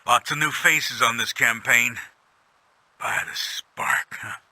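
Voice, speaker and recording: An actor playing a world-weary, gruff robot soldier in a video game audio log, a humble, plainspoken veteran with a folksy, stoic manner. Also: male voice, medium pitch, slow pace, sharp tone, no room sound. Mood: weary, wry. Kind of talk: scripted in-character audio log monologue.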